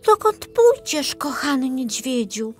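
A woman puts on a sweet young fairy-tale girl. The voice is lifted high and lilting, earnest and curious. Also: sing-song, slow pace, faint music behind, full character voice. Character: kind little sisters, curious and helpful girls. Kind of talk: acted storybook dialogue.

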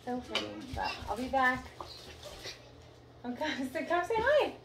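A woman's voice: high-pitched, big pitch swings, measured pace. A woman in her thirties chatting casually as she paints furniture, gushing, with lots of fillers. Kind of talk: casual how-to narration while working. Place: tiled room indoors at home. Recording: echoey room, distant mic, noisy.

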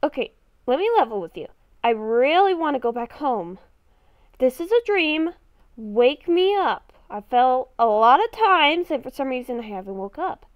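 A woman's voice: high voice, very animated, normal speed, dry recording, dull audio. A woman improvising in-character game roleplay, talking to herself in murmurs and exclamations. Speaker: gaming video creator playing a roleplay character.

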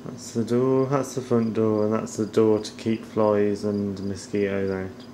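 A middle-aged man giving a casual, low-key, off-the-cuff house tour as he walks around indoors with a handheld camera. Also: level tone, a little slow, mellow. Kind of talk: casual off-the-cuff narration while filming. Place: indoors, walking through rooms of a house. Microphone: handheld camera at arm's length. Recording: noisy handheld camera audio.

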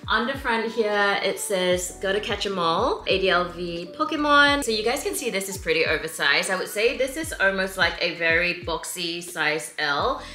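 Bright-voiced chatty woman vlogger. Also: medium pitch, roomy sound. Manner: chatty, enthusiastic, lots of "like" fillers. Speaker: fashion and sneaker vlogger. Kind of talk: casual shop-with-me haul chat.

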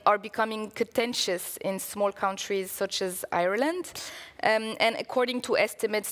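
Young woman moderator in a reverberant hall. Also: medium pitch. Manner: hesitant, with restarts. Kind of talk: moderating a panel, reading audience questions. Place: conference stage, indoors. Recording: reverberant stage room.

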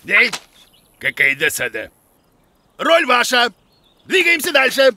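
A man voicing a blustery, bossy cartoon creature who teaches children, with a creaky voice and hammy exclamations. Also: very high-pitched, wildly swooping pitch, slow pace, pushed and bright, a little hiss or hum, full character voice. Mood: grumpy, dismissive. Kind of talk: animated children's show acting.

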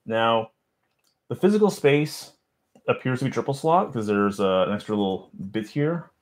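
A man in his thirties rambles casually into a boom mic in a small home office. He talks in a mid-pitched voice, muttering to himself as he works with his hands. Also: expressive, normal speed. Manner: rambling, self-deprecating, mutters while handling parts. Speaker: streamer unboxing a computer. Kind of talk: casual live chat while working. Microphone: boom mic near desk.

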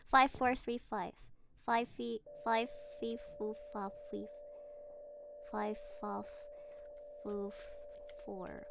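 A woman's voice: medium pitch, creaky, a little hiss or hum. A woman streaming a horror game, chatting casually, giggling and talking to her character. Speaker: VTuber streamer. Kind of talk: casual gaming livestream chat.

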